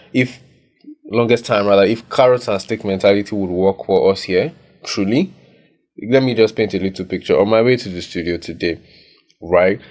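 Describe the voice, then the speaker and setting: Young Nigerian man, low voice, studio podcast co-host, easygoing and reflective, tags his points with "right?". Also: darkish tone. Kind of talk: casual podcast chat. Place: recording studio.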